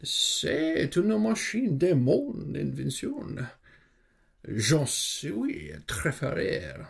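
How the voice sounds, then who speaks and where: A man's voice: a little high, big pitch swings, drawn-out words, gravelly, breathy edge, heavily acted. A man playing a meek, unmasked humbug wizard in an ASMR film, confiding and apologetic. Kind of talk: French ASMR roleplay film.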